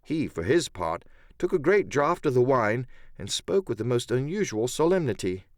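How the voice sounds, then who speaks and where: A man's voice: medium pitch, big pitch swings, normal speed, no echo, clean studio sound. A man giving a dramatic first-person narration of an adventure story as an audiobook narrator.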